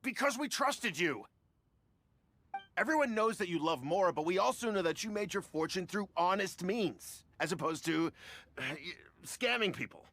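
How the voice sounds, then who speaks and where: An actor voicing an eager male fan who overpaid at an auction in a game, excitable and stammering when uneasy. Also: sits high, moderate pace, full character voice. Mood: indignant. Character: eager male fan bidder, nervous yet earnest. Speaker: auction buyer. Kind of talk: game voice acting.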